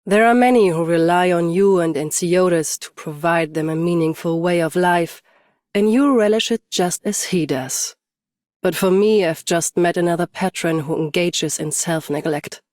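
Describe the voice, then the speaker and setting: A woman's voice: lowish voice, normal speed, darkish tone, no echo, studio quality, close to an everyday voice. A woman playing a stoic, battle-hardened champion swordswoman and bodyguard in a video game, restrained and commanding. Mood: calm, dry. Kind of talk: scripted game character voice lines.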